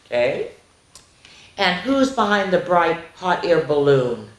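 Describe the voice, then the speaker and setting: Animated storytime woman in an echoey room. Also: fairly low pitch, slow. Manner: expressive, exaggerated, sing-song with sound effects; chatty asides to young listeners. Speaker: children's storytime host. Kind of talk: animated read-aloud to young children.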